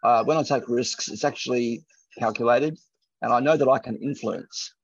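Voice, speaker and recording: A man's voice: mid-range voice, normal speed, creaky, dry recording. A middle-aged man speaking as an entrepreneur on a panel, telling anecdotes in an emphatic, conversational way. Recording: lo-fi, muffled audio.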